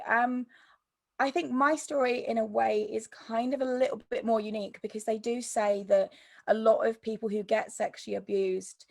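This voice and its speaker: A woman with a croaky voice telling her personal story as an interview guest, hesitant, with many ums and restarts. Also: on the high side, a little mellow, dry recording, studio quality. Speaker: interview guest, mental health YouTuber.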